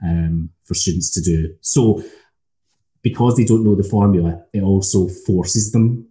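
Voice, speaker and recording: Scottish man, academic, very dark tone, lowish voice, measured, thoughtful, frequent pauses. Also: poor audio. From Scotland. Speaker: academic lecturer. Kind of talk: casual academic conversation.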